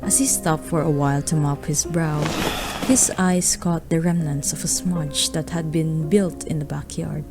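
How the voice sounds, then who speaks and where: A woman's voice: low voice, steady tone, a little slow, over music. A woman narrating a radio drama, reading a scripted story.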